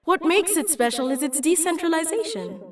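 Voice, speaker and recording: A woman's voice: very high voice, sing-song, moderate pace, roomy sound, far from the mic. A woman playing an earnest, idealistic young Kenyan activist in a dramatic motion comic, explaining and persuading. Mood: earnest, explanatory.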